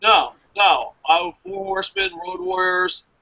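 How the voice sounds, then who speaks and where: A man's voice: high voice, wildly swooping pitch, a little slow, creaky, pushed and bright, lo-fi sound. An American man chatting casually and emphatically about his opinions as a review show host, swearing freely. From New Jersey, United States.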